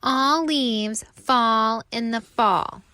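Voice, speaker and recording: A woman voicing a chorus of smug autumn leaves in a storybook, loud, drawn-out and mocking. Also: on the high side, clear, resonant tone, no room sound, exaggerated voice. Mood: taunting.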